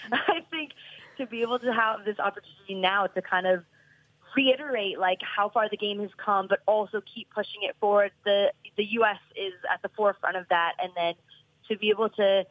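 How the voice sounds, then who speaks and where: A woman's voice: medium pitch, a little fast, no room sound, muffled recording. A woman in her thirties talking earnestly as a podcast guest, rambling with many restarts. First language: English. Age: 30s. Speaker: professional soccer player, guest. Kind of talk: casual podcast interview.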